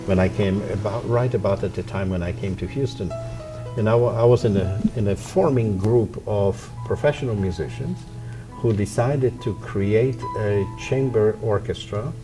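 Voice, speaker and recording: A middle-aged Polish-accented man speaks in a fairly low voice, measured and reflective, pausing often to find his words. Soft instrumental music plays clearly behind him. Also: low-quality recording. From Poland. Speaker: violin professor and orchestra co-founder. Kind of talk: interview answers.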